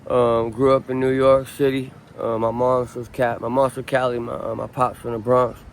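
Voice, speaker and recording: A man in his late thirties from Southern California talks outdoors in a casual street interview. His pitch stays level and his delivery wanders with restarts and fillers. Faint city noise sits behind him. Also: normal speed. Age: 30s. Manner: relaxed, rambling, many ums and restarts.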